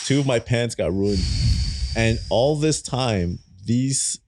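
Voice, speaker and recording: A man in his thirties, a native German speaker, telling wild travel stories as a podcast guest with lots of laughter and cursing, in a small studio on a boom mic. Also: slow pace, no echo. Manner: animated, laughing, swearing freely. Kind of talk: casual storytelling in a podcast. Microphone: boom mic on a stand.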